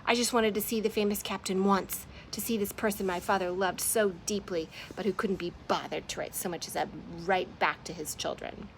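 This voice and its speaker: A woman's voice: moderate pace, creaky, a bit bright, a little hiss or hum, low-quality recording. A woman reading an audiobook, voicing an angry young man who snarls and shrieks. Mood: bitter, snarling. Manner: snarling, shrill outbursts.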